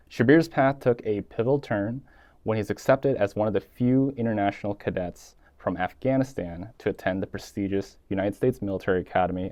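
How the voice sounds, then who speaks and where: A young man hosts a podcast from a small studio room, with a formal and earnest delivery. His voice sits low. The sound is dry and close, with no room echo. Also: darkish tone. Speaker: podcast host. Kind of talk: scripted intro then interview questions. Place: small dim studio room, seated in armchair.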